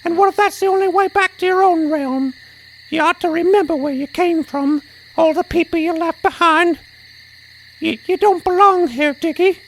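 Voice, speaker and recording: A woman's voice: extremely high pitch, moderate pace, low-quality recording. A woman voicing a small, anxious, loyal bird companion with a British accent and slangy, fretful talk in a fantasy audio drama. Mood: anxious pleading.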